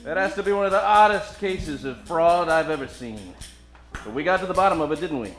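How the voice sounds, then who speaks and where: A man's voice: on the high side, animated, brisk, a bit bright, echoey room, soft background music. A man playing a world-weary, hard-drinking detective in an old-time radio parody, wry and self-deprecating. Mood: wry, businesslike.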